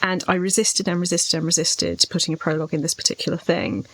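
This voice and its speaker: A woman's voice: on the low side, animated, quick pace, fairly bright tone, low-quality recording. A British woman reflecting on writing as a podcast co-host, speaking articulately and at length in a thoughtful way.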